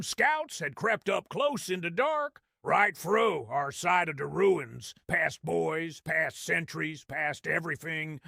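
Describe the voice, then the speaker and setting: A man voicing a small, cunning goblin-like grot with a gravelly Cockney-style accent, telling a war tale in a wry, conspiratorial way for an audio drama. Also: high voice, fairly bright tone, no echo, clean studio sound, exaggerated voice. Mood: confiding, dry. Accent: Cockney-style English. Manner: wry, conspiratorial, streetwise, ungrammatical slang. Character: small cunning cowardly male grot narrator, wry storyteller. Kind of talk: in-character audio drama storytelling.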